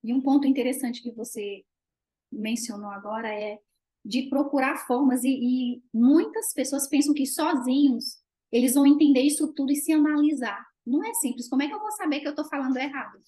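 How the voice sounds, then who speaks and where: High-voiced middle-aged Brazilian woman. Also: fairly quick, dark tone, low-quality recording. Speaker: host. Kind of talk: conversational commentary on a video call. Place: living room indoors. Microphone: video call, webcam at desk distance.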